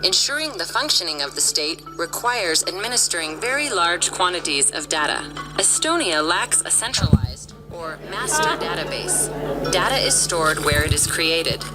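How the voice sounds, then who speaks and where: A woman narrates a scripted explainer video with an even, formal delivery. Her voice is on the low side. Loud music plays behind her. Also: moderate pace.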